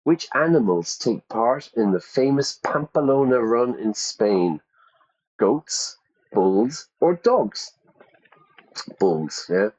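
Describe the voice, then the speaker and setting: A man's voice: medium pitch, big pitch swings, takes it slowly, darkish tone, husky, dull audio. A man teaching English to learners, reading out quiz questions and repeating the answer options. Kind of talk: ESL quiz lesson.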